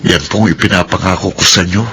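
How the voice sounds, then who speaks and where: A man's voice: low voice, fairly even intonation, quick pace, a bit bright, husky, exaggerated voice. A man playing an earnest, forgiving grown son in a Filipino radio drama, respectful, with a Filipino accent.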